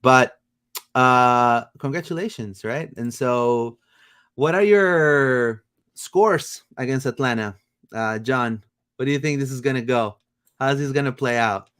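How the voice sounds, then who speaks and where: A man hosting a casual soccer livestream, rambling with many fillers and bursts of excitement. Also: mid-range voice, unhurried, clean tone. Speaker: podcast host.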